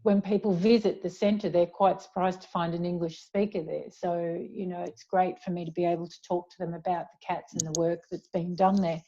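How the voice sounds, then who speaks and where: A woman's voice: lowish voice, level tone, brisk, hardly any pauses, mellow, muffled recording. An Australian woman speaking as an author in a conversational interview, chatty and reflective as she explains at length. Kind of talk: conversational author talk, answering questions.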